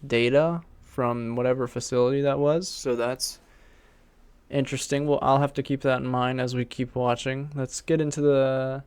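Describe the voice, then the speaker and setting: A man's voice: medium pitch, steady tone, moderate pace, a little mellow, clean tone, dry recording. A man giving casual reaction commentary as a video host.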